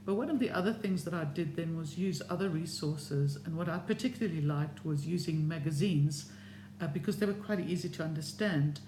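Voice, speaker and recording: Middle-aged, Serbian home language, measured encouraging vlog talk, roomy echo, audible hum. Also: medium pitch. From South Africa. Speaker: language learning vlogger. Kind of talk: opinion talk to camera. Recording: echoey room with steady hum.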